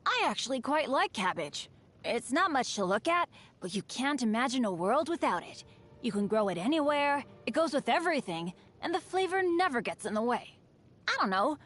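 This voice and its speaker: A woman voicing an upbeat but unlucky teenage boy adventurer in a video game, with an earnest, chatty, boyish delivery. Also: a little high. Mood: fond, earnest. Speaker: game character voice actor. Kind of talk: scripted game character voice lines.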